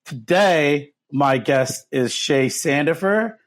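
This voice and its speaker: A man's voice: fairly high pitch, expressive, takes it slowly. A man hosting an interview podcast, friendly and a little hesitant, with many fillers. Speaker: podcast host. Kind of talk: casual interview.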